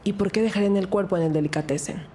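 A woman playing a young, earnest detective who narrates and questions in a measured, uneasy way in an audio drama. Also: mid-range voice, a little hiss or hum, natural voice. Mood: serious, inquiring. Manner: measured, serious storytelling. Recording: loud music under the voice.

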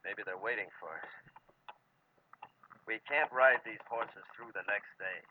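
An actor playing a grave, commanding outlaw in a 1940s radio drama, speaking in a measured, clipped way. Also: male voice, fairly low pitch, close to an everyday voice. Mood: grave, wary. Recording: scratchy, noisy, tinny old radio recording.

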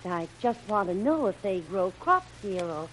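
A woman's voice: medium pitch, big pitch swings, normal speed, fairly bright tone. A woman playing a frightened but commanding, suspicious captive young woman in melodramatic, stammering 1930s radio style. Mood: suspicious, probing. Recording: noisy old radio recording.

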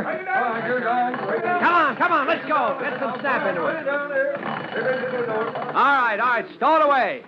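A brisk young fire lieutenant in an old radio drama, high-pitched and jocular. He snaps out short commands to his crew. The old recording is dull, with voices around him. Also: heavily acted. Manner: shouting commands in short bursts.

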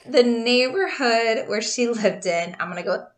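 A woman's voice: on the high side, lively intonation, a little slow. A woman in her thirties co-hosting a podcast, telling a true crime story in a casual, chatty way, laughing often and stumbling over names.

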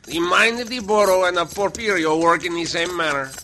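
High, sharp old Mexican uncle. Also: male voice, fairly quick. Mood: needling. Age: older. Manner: broken English, self-important. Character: proud, contrary older Mexican uncle, comic sidekick. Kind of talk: 1940s-50s Western radio comedy acting. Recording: noisy old radio recording.

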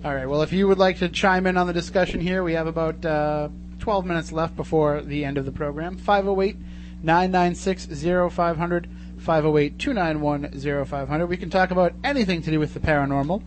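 A man's voice: on the high side, fairly even intonation, fairly quick. A man hosting a live talk radio show in a casual, joking, chatty way. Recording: clearly noisy radio studio with hum.

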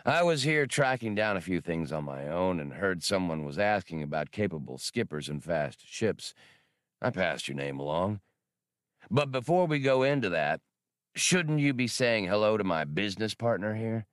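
Big old spacefaring trooper played by a male reader: gravelly, low, folksy and sly, storybook adventure. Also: sing-song, normal speed, dry recording, clean studio sound. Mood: sly, pleased. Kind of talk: audiobook character dialogue.

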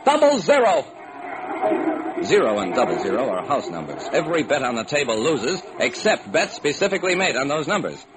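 A man's voice: medium pitch, very animated, fast talker, few pauses. An American man delivering theatrical, hard-boiled first-person detective narration in the style of old-time radio. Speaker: narrator and solo performer of a detective radio series. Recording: noisy old radio recording.

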